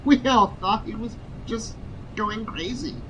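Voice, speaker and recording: An actor playing a grieving young former combat medic in an audio drama, speaking in an emotional, confiding way. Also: male voice, high voice, measured pace, bright, forceful tone, a little hiss or hum, low-quality recording. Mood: bitter, upset.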